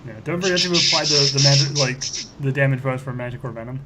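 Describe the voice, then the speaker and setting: A man's voice: mid-range voice, fast talker, a crowd in the background. A man playing a tabletop game, casually explaining rules into a noisy mic. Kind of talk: casual rules talk while gaming. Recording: noisy mic with room ambience.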